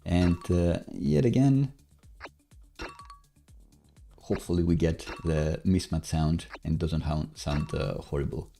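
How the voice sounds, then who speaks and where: A man live-coding on a stream, casually thinking aloud in a hesitant, halting, self-musing way. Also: deep voice, creaky. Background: soft background music, computer keyboard typing.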